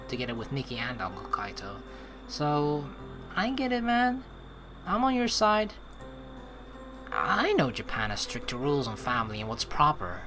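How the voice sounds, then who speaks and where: A streamer talks through a story game in a casual, conversational register. The delivery rambles as thoughts are worked out aloud, at a medium pitch. Game music plays softly behind the voice. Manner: rambling, conversational, thinking aloud, mild swearing. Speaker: let's play streamer. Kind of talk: casual gameplay commentary mixed with reading game text aloud.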